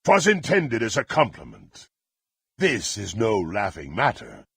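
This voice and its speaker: Power hungry evil fantasy game warrior, husky and gravelly, contemptuous, super dramatic archaic talk. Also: male voice, fairly low pitch, huge pitch swings, measured pace, fairly bright tone, dry recording, full character voice. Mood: intense, imperious. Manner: theatrical, haughty, archaic formal phrasing. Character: menacing, power-hungry, contemptuous male dark warrior with murderous temper.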